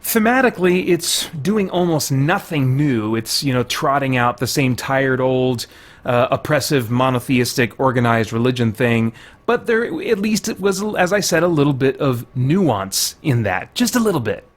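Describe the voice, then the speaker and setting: Guy doing a laid-out game review, chatty, thinks out loud, medium pitched voice. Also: expressive, moderate pace. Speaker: video game reviewer and channel host. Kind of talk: casual spoken review commentary.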